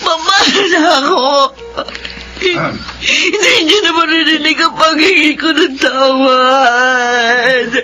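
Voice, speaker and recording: Brief: a remorseful daughter in radio melodrama, very high-pitched, slow and tearful, faint music underneath. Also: female voice, steady tone, sharp tone, full character voice. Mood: anguished, remorseful. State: crying, wailing. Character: grieving, remorseful rebellious daughter pleading to her dead mother. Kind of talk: radio drama acting, melodramatic. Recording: music bed behind voice.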